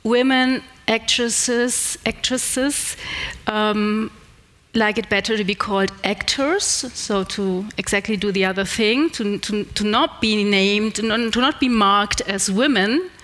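A woman's voice: medium pitch, slow pace, a bit bright. A middle-aged woman with a German accent, giving a conference talk and taking questions, hesitant with many fillers and restarts. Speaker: conference speaker. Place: large indoor stage. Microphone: headset.

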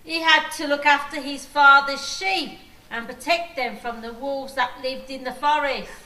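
A woman's voice: high-pitched, steady tone, measured pace, pushed and bright, a little hiss or hum. A woman playing narrator and small parts in a scripted storybook radio play. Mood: plain, earnest. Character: storybook narrator, sheep and villager. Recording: echoey room, faint music.

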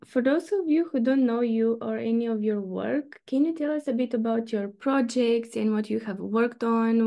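A woman's voice: on the high side, vocal fry, warm tone. A young woman hosting an online interview, asking friendly, hesitant questions full of fillers, talking into a studio mic from a room at home. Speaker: interview host. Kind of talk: casual interview chat. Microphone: studio mic on video call.

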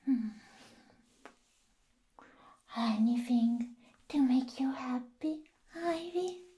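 A slightly mad, lovestruck young villainess played by a woman teases in an ASMR roleplay. Her whispery voice is girlish and fairly high, with a soft, mellow tone. She takes her time, coy and playful. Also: extremely slow. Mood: playful, devoted. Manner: teasing, coy.

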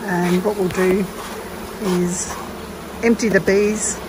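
A woman's voice: medium pitch, steady tone, slow. A woman giving a casual, unscripted step-by-step beekeeping demonstration in a practical way. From Australia. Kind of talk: casual hands-on tutorial narration. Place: outdoors in a garden among beehives. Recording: clear background noise of buzzing insects. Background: bees buzzing, outdoor ambience.

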